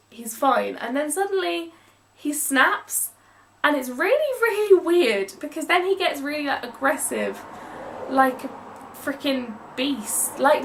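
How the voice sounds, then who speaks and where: A young English woman speaks in a high voice that often dips into creaky fry. She reviews books in a chatty, emphatic style, leaning hard on stressed words. The mic sits at a distance, so she sounds a little removed. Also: a bit bright. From England. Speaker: book reviewer. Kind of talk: casual, chatty book review vlog. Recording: distant mic with some background noise.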